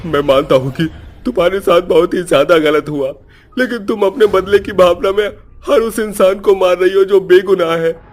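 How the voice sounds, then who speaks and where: A man's voice: high voice, moderate pace, pitched above the performer's own voice, close to an everyday voice. A man voicing a frightened, pleading young man in a horror cartoon, with a strained, dramatic delivery.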